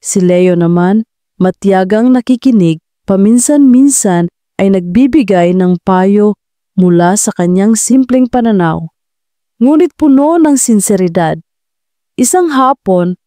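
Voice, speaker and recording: A woman narrating a scripted romantic audio drama with a steady storytelling delivery. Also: medium pitch, no room sound, studio quality.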